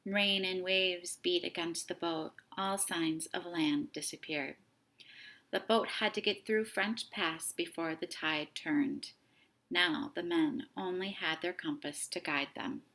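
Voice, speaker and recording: A woman reading a children's picture book aloud, with dramatic, deliberate phrasing as a storytime host. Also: fairly low pitch, fairly even intonation, fairly bright tone. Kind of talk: expressive children's story narration.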